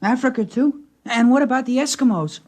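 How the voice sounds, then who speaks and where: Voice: high-pitched, brisk, a little mellow. A laconic, philosophical boy. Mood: calm. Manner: laconic, matter-of-fact. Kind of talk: 1950s radio drama acting.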